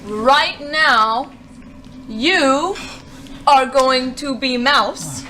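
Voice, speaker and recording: A woman's voice: sits high, lively intonation, extremely slow, pushed and bright, slight background noise, poor audio. A woman chatting casually and playfully as a player in a tabletop game.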